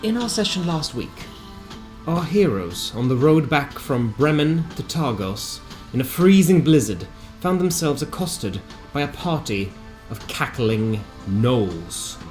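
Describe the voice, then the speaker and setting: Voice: mid-range voice, very animated, a little slow, darkish tone. Storyteller recapping an adventure over music. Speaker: narrator recapping a tabletop game session. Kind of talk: scripted story recap narration.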